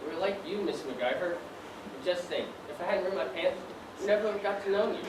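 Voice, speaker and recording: Stage role: eager, apologetic suitor, a man acting, fairly high male voice, polite and projected, miked from a distance. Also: brisk, close to an everyday voice. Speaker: stage actor in a one-act play. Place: theatre stage, distant microphone. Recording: echoey, noisy stage recording from afar.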